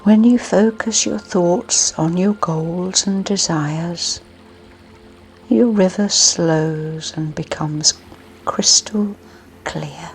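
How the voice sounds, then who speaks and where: A woman narrating a scripted guided sleep meditation in a soothing, hushed manner with long pauses. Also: on the low side, rough recording. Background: music.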